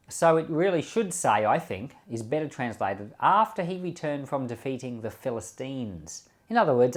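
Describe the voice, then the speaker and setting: A man giving informal Bible commentary as a pastor, speaking earnestly and emphatically and repeating key words. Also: mid-range voice. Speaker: pastor hosting a Bible podcast.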